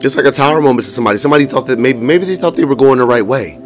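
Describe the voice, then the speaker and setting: Hoarse, profane male tarot reader. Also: medium pitch, racing. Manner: blunt and profane, with frequent laughs. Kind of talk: casual tarot reading to viewers. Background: music.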